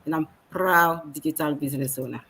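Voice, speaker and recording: A woman's voice: sits low, a little fast, a bit bright, breathy edge. A woman from Ghana giving a brief, plain self-introduction as a panel guest.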